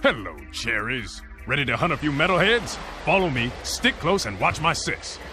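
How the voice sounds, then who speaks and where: An actor playing a swaggering, tough-talking monster hunter in a video game, teasing with macho slang. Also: male voice, a little high, very animated, moderate pace. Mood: gung-ho. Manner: mocking nicknames, macho slang. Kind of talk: video game cutscene voice acting. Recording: music under the voice.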